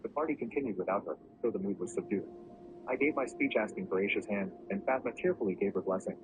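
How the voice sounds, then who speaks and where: A man narrating a first-person story in a steady, even storytelling delivery. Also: lowish voice, fast talker. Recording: muffled lo-fi recording with clear background noise.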